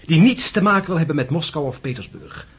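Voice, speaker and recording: An actor playing a composed, earnest young prince and officer in a radio drama, speaking in a husky, measured, reasoning way, courteous but firm. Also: male voice, fairly high pitch, expressive.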